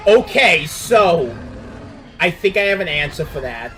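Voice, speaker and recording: A man's voice: fairly high pitch, sing-song, a little slow, pushed and bright, faint music behind. A man giving casual, conversational commentary as co-host of a reaction stream.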